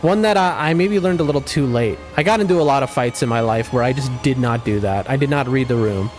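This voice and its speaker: A young man streaming a game, shouting during fights and rambling to his chat between them. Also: mid-range voice, fast, soft background music. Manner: excitable, loud outbursts during fights; rambling, many fillers and restarts when chatting. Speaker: gaming streamer. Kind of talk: live gameplay commentary and chat talk.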